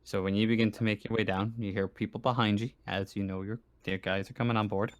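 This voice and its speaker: A man's voice: on the low side, flat delivery, fast talker, muffled recording. A man acting as game master, narrating a tabletop roleplaying game in an informal, slightly unsure, self-correcting way.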